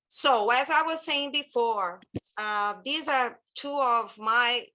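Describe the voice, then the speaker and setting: Brazilian lady teaching a church training on a laptop call, bright clear voice, chatty with lots of uhs. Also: medium pitch, low-quality recording. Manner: warm, chatty, loose phrasing with many fillers. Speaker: children's ministry trainer. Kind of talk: online training presentation. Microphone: laptop video call.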